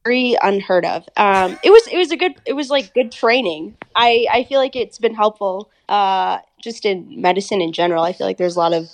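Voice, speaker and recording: A woman's voice: mid-range voice, lively intonation, brisk, hardly any pauses. Chatty young woman with American accent. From India. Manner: chatty, rambling with many fillers and restarts. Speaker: medical student guest. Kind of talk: casual podcast chat.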